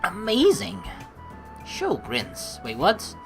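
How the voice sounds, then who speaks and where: A woman's voice: medium pitch, huge pitch swings, slow pace, creaky. A woman doing casual let's play commentary, rambling as she thinks aloud and reading game text, with some casual swearing. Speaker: let's player. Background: game music.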